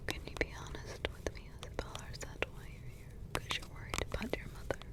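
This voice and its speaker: A woman in an ASMR roleplay voicing a centuries-old witch mother who softly whispers, slowly ponders and hums to herself between thoughts. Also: lightly acted. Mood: musing. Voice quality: soft whisper throughout.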